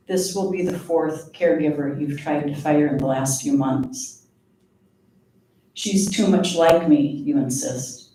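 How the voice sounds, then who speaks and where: A woman giving a live poetry reading of her own work, in a measured, deliberate way with pauses. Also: low voice, mellow. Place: live reading event before an audience. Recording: very echoey room, distant mic, faint noise.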